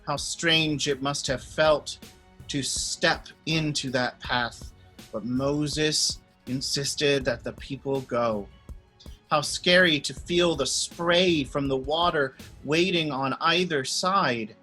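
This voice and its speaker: A priest tells a children's Bible story in a friendly, expressive manner. His voice is bright and a little high, and he takes his time. Soft music plays faintly in the background. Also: takes it slowly.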